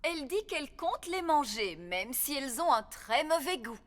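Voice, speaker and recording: A woman's voice: high-pitched, sing-song, normal speed, in a large hall, a little hiss or hum. A woman voicing a bossy, confident fairy nanny in a children's cartoon, with a theatrical, fussy manner. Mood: fussy, matter-of-fact. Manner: theatrical, self-assured, fussy.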